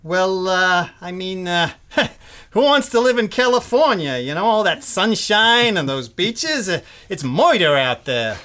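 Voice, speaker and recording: Woman acting low-voiced, bashful young fellow. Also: big pitch swings, measured pace, fairly bright tone. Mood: evasive, joking. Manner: aw-shucks, hesitant, slangy. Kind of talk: old-time radio comedy acting. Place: live stage performance in a bookstore.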